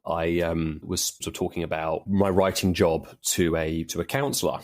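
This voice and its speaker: A man's voice: low-pitched, flat delivery, moderate pace, darkish tone. A man, a horror writer, talking reflectively in an interview with thoughtful, hedging phrasing.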